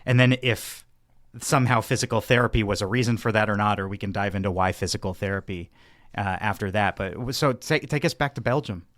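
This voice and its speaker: A man's voice: lowish voice, fairly quick, fairly bright tone, no echo, clean studio sound. A man hosting a podcast, introducing his guest with enthusiasm and a lot of rambling. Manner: enthusiastic, rambling with fillers and restarts. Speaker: podcast host. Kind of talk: casual interview hosting.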